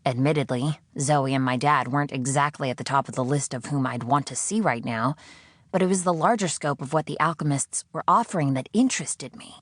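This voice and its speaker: A woman reading first-person fiction as an audiobook narrator, in a measured, expressive way. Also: very deep voice, dry recording, clean studio sound.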